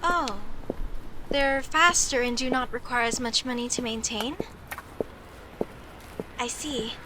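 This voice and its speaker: Woman acting as young elf mage, high-pitched, unhurried, formal phrasing, outspoken, curious, comedic audio roleplay. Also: background noise. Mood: stubborn, reasoning. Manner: formal stilted phrasing, questioning, indignant.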